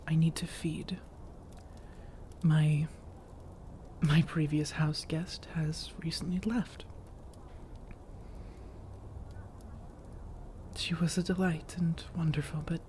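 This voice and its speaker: Soft whispery vampire woman, mellow and coaxing acted by a woman. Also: low-pitched, a little hiss or hum. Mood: measured, serious. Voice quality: soft, breathy, often whispered. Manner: measured, deliberate, formal, coaxing. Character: elegant, persuasive, long-lived female vampire seeking a roommate. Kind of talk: soft-spoken ASMR roleplay monologue.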